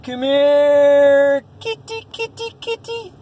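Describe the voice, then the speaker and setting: A man's voice: extremely high pitch, expressive, slow, warm tone, voice pushed up, full character voice. A man voicing a flamboyant male neighbour calling his cat in cooing, sing-song tones. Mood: coaxing, sing-song. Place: inside a parked vehicle. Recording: car interior, distant mic, faint noise.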